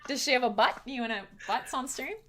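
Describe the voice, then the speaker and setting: A woman's voice: high voice, fairly quick, a crowd in the background. A Canadian woman hosting a casual livestream chat, playful and chatty, laughing often as she talks. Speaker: livestream host.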